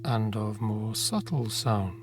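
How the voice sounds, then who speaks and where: A man narrating a guided meditation in a gentle, unhurried manner, leaving long pauses between phrases. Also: on the low side. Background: meditation music with singing bowl tones.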